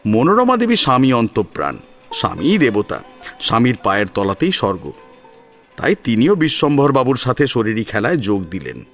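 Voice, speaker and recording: A man's voice: mid-range voice, huge pitch swings, moderate pace, soft background music. A man narrating a serialized story in a dramatic style, as its storyteller.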